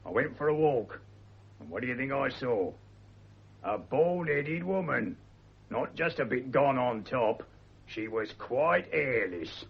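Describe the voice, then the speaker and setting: A gruff working-class Cockney servant from a radio play. His voice is husky and middling in pitch, with blunt, slangy, grumbling delivery. The recording is dull and lo-fi. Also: lively intonation, takes it slowly, full character voice. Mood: wary, grumbling. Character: gruff, superstitious working-class manservant, wary and cheeky. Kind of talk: British radio drama acting.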